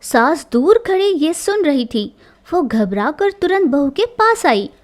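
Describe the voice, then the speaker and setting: Female storyteller, scripted narration of a domestic tale, very high voice, unhurried pace. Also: big pitch swings.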